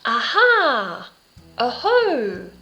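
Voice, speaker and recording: A woman's voice: a little high, huge pitch swings, drawn-out words, pushed and bright, highs cut off, faint music behind, full character voice. A woman voicing a curious, eager little monster child in a storybook, pleading and full of questions. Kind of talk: storybook read-aloud for children.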